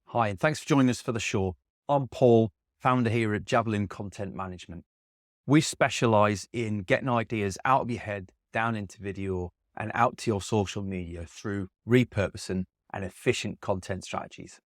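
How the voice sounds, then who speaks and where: A man's voice: fairly low pitch, moderate pace, no room sound, studio quality. A man presenting a scripted promotional spot as a company founder and show presenter.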